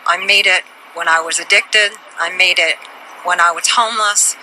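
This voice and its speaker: Female interviewee, 50s, very bright forceful voice, deliberate testimony, faint street noise. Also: fairly low pitch, fairly even intonation, low-quality recording. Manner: deliberate, emphatic short phrases. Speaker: protester and interviewee. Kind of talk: personal testimony. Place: outdoors on a city street.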